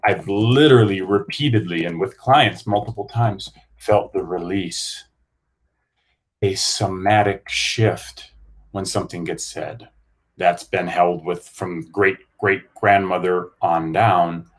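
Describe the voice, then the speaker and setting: A man explaining his experience in an earnest, measured way. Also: deep voice. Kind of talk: reflective conversation.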